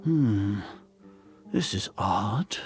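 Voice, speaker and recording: Elderly male scholar-ruler, gravelly, animated pitch, suspicious, imperious, formal, video game drama. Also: drawn-out words, over music, heavily acted. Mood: uneasy, puzzled. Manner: imperious, formal, muttering deliberation. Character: haughty, suspicious elderly Grand Sage, an academy leader. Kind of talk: English video game cutscene acting.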